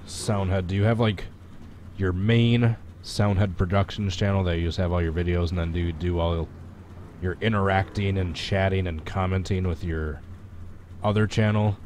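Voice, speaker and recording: Low-voiced congested man streaming casually. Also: fairly even intonation, normal speed, a little mellow, slight background noise. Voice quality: congested, stuffy nose. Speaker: sim racing live streamer. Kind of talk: casual live stream chat and game commentary.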